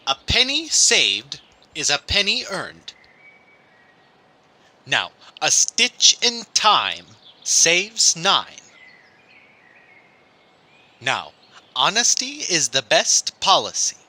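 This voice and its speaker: Man acting a prim, bright-voiced nervous schoolmaster. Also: on the high side, huge pitch swings, very slow, pauses often, full character voice. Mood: prim, stern. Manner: stammering, flustered, proper. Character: fussy, nervous, prim male village schoolmaster. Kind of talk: audio drama acting.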